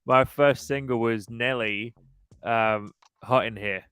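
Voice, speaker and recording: A man in his thirties hosting a casual podcast livestream, easygoing and quick to laugh as he chats and reads out viewer comments. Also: mid-range voice, fairly even intonation, a bit bright, smooth, no room sound. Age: 30s. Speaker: podcast host.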